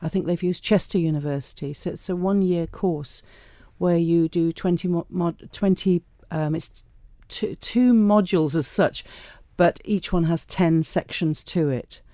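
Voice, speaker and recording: Female interviewee, middle-aged, big low-to-mid voice with a soft mellow tone, chatty, close dry studio sound. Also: unhurried, few pauses, dry recording. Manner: chatty, anecdotal. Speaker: studio guest, self-employed tour guide. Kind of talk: conversational interview. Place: radio studio.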